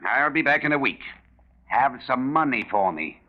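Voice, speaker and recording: A man's voice: mid-range voice, sing-song, normal speed, natural voice. Guy doing a cold stiff creditor in an old 40s radio play, very inflected, dull lo-fi sound. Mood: cold, dismissive. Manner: curt, cool, formal. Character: cold, unyielding male creditor, curt and superior. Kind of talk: 1940s radio drama acting. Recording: dull lo-fi 1940s radio recording.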